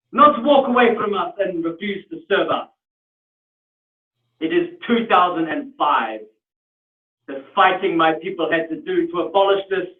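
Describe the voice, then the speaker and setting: A proud, outraged South African customer who speaks slowly and scornfully, as a man puts him on. The voice is raised high above the performer's usual pitch and gets loud at its peaks. It sounds tinny and echoey, recorded from a distance. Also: natural voice. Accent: South African (put on). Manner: loud, slow and scornful, with stern declarations. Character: indignant middle-aged man, proud and outraged. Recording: tinny, echoey room from afar.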